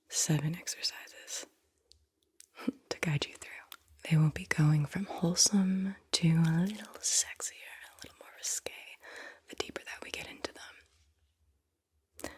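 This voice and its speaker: A woman whispering softly and breathily as she hosts a soothing, deliberate ASMR guided visualization. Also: sits low, breathy edge. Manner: soothing, slow and deliberate, repeats words softly. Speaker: ASMR host guiding visualization exercises.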